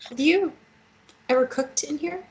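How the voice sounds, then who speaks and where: A woman's voice: high-pitched, unhurried, darkish tone. An actress playing a bold, teasing, injured young woman in a romance table read, with a naturalistic, playful delivery. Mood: frank.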